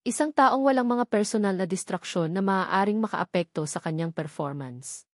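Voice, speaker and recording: A woman's voice: mid-range voice, normal speed, dry recording, studio quality, close to an everyday voice. A woman voicing a scheming female corporate rival in an audio drama, with a poisonously sweet, cutting manner. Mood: sweetly menacing. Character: venomous female corporate rival, sweet-voiced schemer turning openly hateful.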